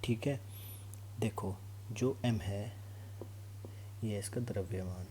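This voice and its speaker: Casting: male teacher, dark relaxed tone on the low side, informal explaining style, noisy humming recording. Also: slow pace. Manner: instructional, repeatedly checks understanding. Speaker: physics teacher for exam students. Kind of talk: informal classroom lesson, explaining to students. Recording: clear background noise with hum.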